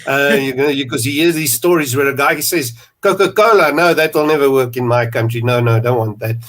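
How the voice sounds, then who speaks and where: A man's voice: medium pitch, fairly quick, a bit bright. A man with a South African accent hosting a podcast interview, rambling and restarting with plenty of fillers. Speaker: podcast host. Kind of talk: conversational interview.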